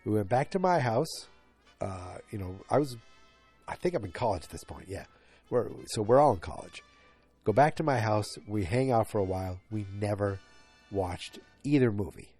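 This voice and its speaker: A man's voice: on the low side, expressive, moderate pace, warm tone, soft background music. A man in his forties with a stuffed-up, congested voice, hosting a solo podcast and rambling with many restarts and fillers. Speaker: podcast host. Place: home, late at night.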